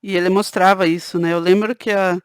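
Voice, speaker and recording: A woman's voice: lowish voice, fairly even intonation, brisk, clean tone, no room sound, studio quality. A woman with a southern Brazilian countryside accent, hosting her channel and talking casually to camera in a chatty way, often adding "né" to her sentences. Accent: Brazilian Portuguese, southern countryside (caipira). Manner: conversational, peppers sentences with "né". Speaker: channel host.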